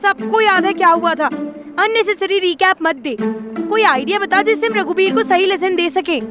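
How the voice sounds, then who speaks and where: A woman playing a bossy, sharp-tongued young city woman in an audio drama, assertive and switching between Hindi and English. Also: very high-pitched, lively intonation, a little slow, music in the background. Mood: irritated, demanding.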